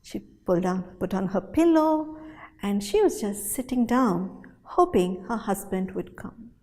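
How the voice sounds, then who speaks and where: A woman storyteller telling a folktale in a dramatic, animated way, with deliberate pauses and repetition. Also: mid-range voice. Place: hall at a storytelling festival. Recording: reverberant hall sound.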